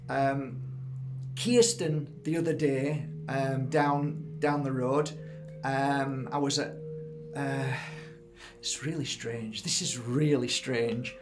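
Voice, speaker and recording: Rambling, excited man over faint music. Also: medium pitch, slow pace. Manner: rambling, enthusiastic, many fillers and restarts. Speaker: vlogger. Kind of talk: casual testimonial monologue.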